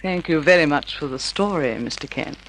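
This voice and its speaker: An actress playing a seductive, scheming femme fatale, poised and coaxing, in 1950s radio drama style. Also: female voice, sits low, fairly quick, a little hiss or hum, low-quality recording. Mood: coy, seductive. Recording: old radio drama recording with music.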